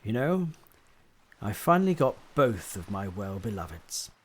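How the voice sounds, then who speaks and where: A man's voice: fairly low pitch, sing-song, a little slow. Man playing a fond, playful period husband. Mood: playful, pleased. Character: contented, affectionate newlywed husband, a sculptor. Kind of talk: period radio drama dialogue.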